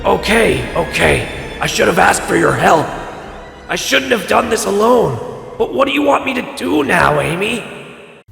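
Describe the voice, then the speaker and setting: A man playing a brash but remorseful hedgehog hero turned gruff werehog in an audio drama, with a gravelly voice. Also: sits high, sing-song, a little slow, a bit bright, soft background music, heavily acted. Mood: shaken, apologetic. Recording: echoey, long cavernous reverb.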